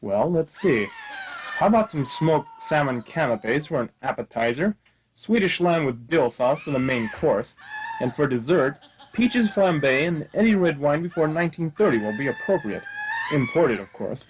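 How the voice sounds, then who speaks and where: A man's voice: a little high, wildly swooping pitch, normal speed, noisy background. A man acting a hapless, wisecracking private detective who complains with mock refinement in a comic radio play. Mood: cheeky, demanding.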